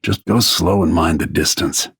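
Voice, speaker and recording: Grim video game assassin, middle-aged man, low-pitched, husky rasp, terse, brooding, dry close sound. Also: normal speed, fairly bright tone, no room sound, heavily acted. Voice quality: gravelly, husky. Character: grim, weathered middle-aged male royal bodyguard turned assassin.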